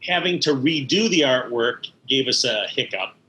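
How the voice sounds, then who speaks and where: A man's voice: medium pitch, animated, moderate pace, sharp tone, a little hiss or hum, poor audio. A middle-aged or older man, an interview guest recalling his work in animation with emphatic enthusiasm. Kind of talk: conversational recollection.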